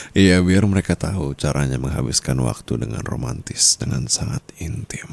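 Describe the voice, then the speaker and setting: A man playing a dominant, doting rich husband in an intimate ASMR roleplay, teasing in a breathy murmur with soft chuckles. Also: extremely low pitch, full character voice. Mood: seductive, commanding.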